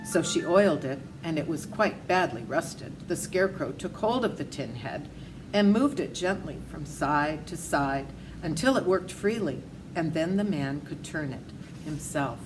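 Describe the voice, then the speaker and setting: A woman's voice: deep voice, animated, unhurried, over music, rough recording. A woman reading a children's story aloud as its narrator. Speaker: storybook reader.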